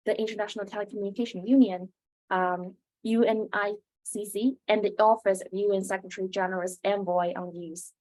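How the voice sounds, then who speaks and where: A woman's voice: mid-range voice, darkish tone, poor audio. A young woman speaking as the host of an interview, with a prepared, scripted way of introducing and asking questions. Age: young adult.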